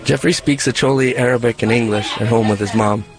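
A male reporter reading scripted narration for a radio feature in a measured, even storytelling delivery. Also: mid-range voice.

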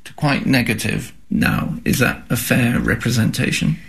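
Guy reporter asking questions out on location, medium pitched voice. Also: big pitch swings, moderate pace, vocal fry, a bit bright, slightly rough. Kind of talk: unscripted interviewing on location.

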